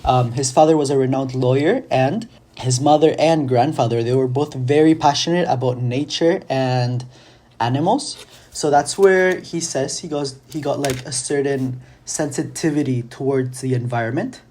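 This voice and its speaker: A young man from Chile, raised in Argentina, speaks in a mellow, warm voice as a student guest on a podcast. He explains things at length and now and then breaks off to restart a sentence. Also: medium pitch, moderate pace. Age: young adult. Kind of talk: casual interview answers.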